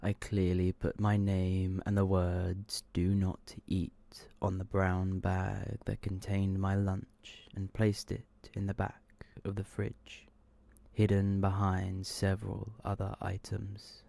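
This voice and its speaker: A soft-spoken man reading short horror stories as ASMR narration, often slipping toward a whisper. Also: deep voice, monotone, slow, vocal fry, dry recording.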